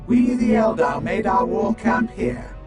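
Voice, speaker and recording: A man's voice: high voice, lively intonation, measured pace. A man playing an earnest, deferential alien warrior in a game, speaking formally and with hesitant deliberation. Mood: reverent. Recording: echoey sound under music.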